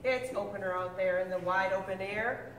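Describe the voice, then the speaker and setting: A woman reading a picture book aloud with lively, expressive delivery, now and then stumbling and correcting herself. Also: moderate pace, a little hiss or hum. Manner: expressive storybook reading with big pitch swings. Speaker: reader. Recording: echoey room, mic at a distance.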